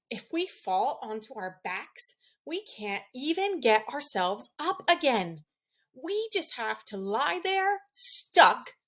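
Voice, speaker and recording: A woman voicing an ordinary, self-deprecating, fretful sheep in a children's storybook, with a wry, drawn-out delivery. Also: a little high.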